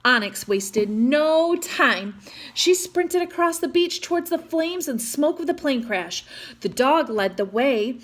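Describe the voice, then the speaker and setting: A woman reading a children's picture book aloud, expressively, with chatty asides to young listeners. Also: high-pitched. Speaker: storytime reader.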